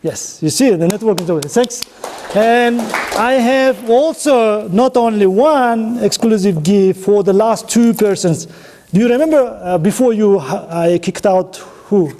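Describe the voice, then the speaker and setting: A male presenter with a distinctly high voice and a dark, rounded tone. He speaks English with an Uzbek accent in a halting, choppy way. His delivery is informal and interactive, addressing an audience directly. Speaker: conference speaker running an audience networking game.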